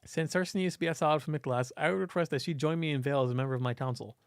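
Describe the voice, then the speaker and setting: A man's voice: fairly high pitch, rapid-fire, clean tone, dry recording, clean studio sound, lightly acted. A man voicing an earnest, kind, brave young queen in a visual novel. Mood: earnest, polite. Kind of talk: visual novel character reading.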